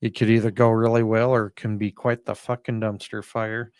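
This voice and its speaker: A man with a fairly low voice co-hosts a casual sports podcast. He rambles a bit, thinking out loud as he makes his picks. The sound is dry and close, with little room to it. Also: little pitch movement, a little fast, smooth, dry recording. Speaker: podcast co-host. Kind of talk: casual sports prediction chat.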